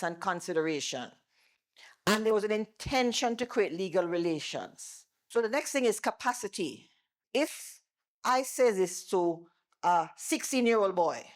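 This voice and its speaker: A middle-aged Jamaican woman, an attorney, giving a loud, animated seminar presentation with worked examples to a live audience on a microphone. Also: medium pitch. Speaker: attorney and former bar president giving a seminar. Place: seminar room before an audience. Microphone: microphone she moves away from.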